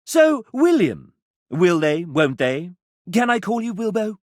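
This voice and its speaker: An actor voicing a sassy, flamboyant video game host, speaking in a grandiloquent, arch and melodramatic way. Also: male voice, high voice, very animated, unhurried, dry recording, clean studio sound. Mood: teasing, showy. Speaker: voice actor playing a game show host character. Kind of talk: theatrical video game character acting as a game show host.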